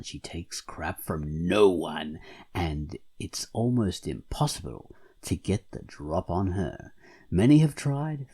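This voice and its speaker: Mellow, gravelly man with lively intonation. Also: low-pitched. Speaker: podcast co-host. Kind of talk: casual podcast chat and featured comic review.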